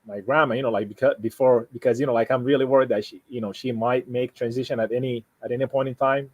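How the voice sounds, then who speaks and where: A man from Sudan, a native Arabic speaker with a Sudanese Arabic accent, talking casually and haltingly as he explains himself, often repeating and rephrasing. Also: medium pitch, steady tone, darkish tone, no echo. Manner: halting, repeats and rephrases, frequent "you know". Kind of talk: casual conversation, explaining personal plans.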